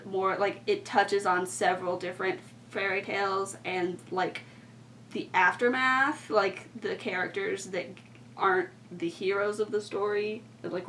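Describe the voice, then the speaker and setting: A woman's voice: medium pitch, fairly bright tone. A young woman explaining things in a casual, rambling way, with plenty of informal filler words. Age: young adult. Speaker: podcast co-host and student writer. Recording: distant mic, faint background noise.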